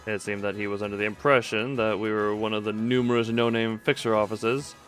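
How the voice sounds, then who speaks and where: A man's voice: on the low side, flat delivery, a little fast, fairly bright tone, faint music behind. A man live-streaming a game, reading its dialogue aloud and stumbling now and then as he adds his own commentary. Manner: stumbles and self-corrects while reading aloud.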